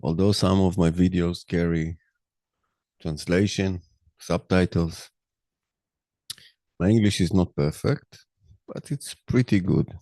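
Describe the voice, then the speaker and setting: A middle-aged Israeli man with a low, gravelly voice speaks English as a second language, slow and halting, full of ums and uhs. His tone is dark and mellow, and the sound is dry and close. He talks through his views in a loose personal monologue. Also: no room sound. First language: Hebrew. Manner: slow, halting, many fillers. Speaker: podcast host.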